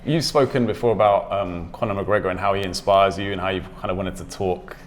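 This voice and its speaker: A man's voice: low voice, fairly even intonation, fast talker, slight background noise. A man interviewing a guest, easygoing, with short agreeing replies. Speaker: sports interviewer. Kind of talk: casual interview questions.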